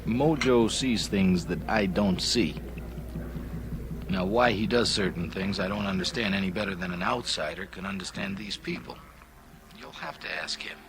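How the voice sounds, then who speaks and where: A man's voice: sits low, normal speed, background noise. An actor playing a guarded, hesitant man in a radio drama, choosing his words with care. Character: Kelly, hesitant, guarded male local acquaintance.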